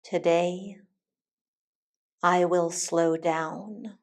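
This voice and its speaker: A woman teacher leading a guided meditation in a calm, soothing, deliberate way with long pauses. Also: lowish voice. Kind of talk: guided meditation, soft instructional narration.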